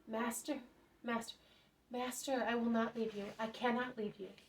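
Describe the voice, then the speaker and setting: A woman's voice: on the high side, slow pace, darkish tone, a little hiss or hum. A woman reading aloud as a desperate male leper who begs for mercy. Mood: fervent. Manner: imploring.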